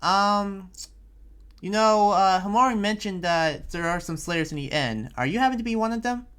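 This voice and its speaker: A man playing an earnest, preachy but friendly town leader in an anime roleplay, speaking in a halting, informal way. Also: high-pitched, a bit bright, clear, resonant tone. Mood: apologetic, friendly. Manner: halting, rambling, informal advice-giving. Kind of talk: improvised Minecraft anime roleplay. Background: music under the voice.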